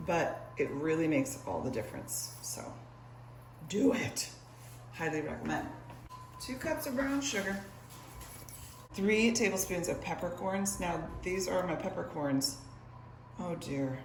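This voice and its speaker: A young woman with a husky morning voice, chatting playfully to viewers in a cook-along vlog from her kitchen. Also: fairly low pitch, big pitch swings, measured pace, long pauses, a little hiss or hum. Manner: bubbly and playful, talks to viewers while cooking. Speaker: cooking vlogger. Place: home kitchen. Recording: echoey kitchen.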